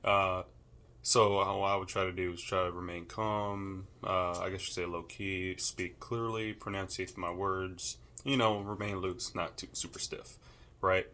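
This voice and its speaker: Deep-voiced young man, casual and halting. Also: little pitch movement, pushed and bright. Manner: informal, many fillers, thinks aloud. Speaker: student. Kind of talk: casual self-recorded class assignment. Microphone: camera held or set nearby.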